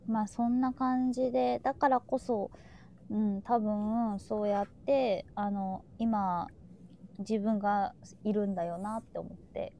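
A woman speaks in a casual, conversational way with a soft-edged, mellow tone. Faint noise and room ambience sit behind her voice. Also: mid-range voice, fairly even intonation, unhurried, gravelly. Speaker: talk show participant, prompting others. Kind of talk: casual chat.

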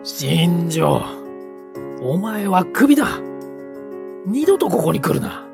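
A woman's voice: on the low side, very animated, slow pace, creaky, over music, exaggerated voice. A woman playing a stern hotel boss in a voice drama, cold and commanding. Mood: cold anger. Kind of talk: voice drama acting.

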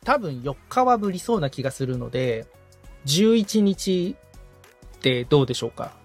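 A man's voice: on the high side, very animated, normal speed, soft background music. A man in the assistant's seat, talking casually but politely, agreeable and thoughtful as he checks back with questions. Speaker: podcast assistant being coached.

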